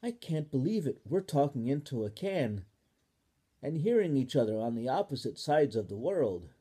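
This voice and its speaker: A storyteller voicing Ball, a lonely young male talking ball who grows excited at finding a friend, in a children's story. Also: medium pitch, slow pace, slightly rough, pitched above the performer's own voice, heavily acted. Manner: bright and wondering, exclaiming.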